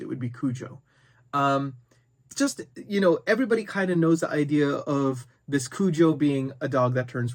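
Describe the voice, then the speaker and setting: Man chatting casually about books. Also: fairly high pitch. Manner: conversational, thinking aloud. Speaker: book review video host. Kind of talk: casual unscripted book chat to camera.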